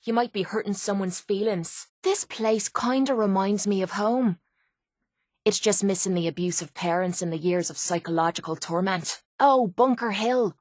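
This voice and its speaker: A woman's voice: medium pitch, moderate pace, darkish tone, no echo, studio quality, close to an everyday voice. A woman playing a cynical, defiant young female brawler in a video game, with an Irish accent and a blunt, sardonic, slangy delivery. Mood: sardonic, irritated. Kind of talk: video game character dialogue.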